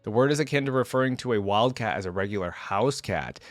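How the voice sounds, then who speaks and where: A man's voice: lowish voice, expressive, quick pace, no echo. A man hosting a reaction video, reading aloud and commenting casually. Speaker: host of a game character reaction video.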